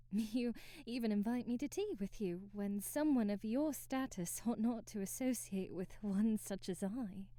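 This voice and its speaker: A woman's voice: mid-range voice, warm tone, no echo, close to an everyday voice. A woman playing a timid, devoted young maid in an ASMR roleplay, speaking formally and deferentially with hesitant stammers and period-drama phrasing.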